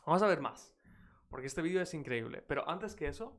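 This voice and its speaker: A man's voice: fairly high pitch, animated, quick pace, fairly bright tone. A man from Spain, a native Spanish speaker with a British-leaning English accent, chatting energetically on a live stream as an English teacher and reacting to clips.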